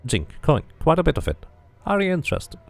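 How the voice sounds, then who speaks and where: A man's voice: fairly high pitch, wildly swooping pitch, brisk, creaky, dry recording, voice pushed up, full character voice. A man voicing a commanding female gang leader who speaks formally and coolly. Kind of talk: video game character reading.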